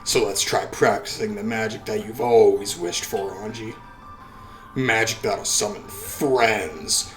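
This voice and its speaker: A man voicing a young girl witch who speaks in a soft, coaxing way. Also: fairly low pitch, measured pace, faint music behind, voice pushed down.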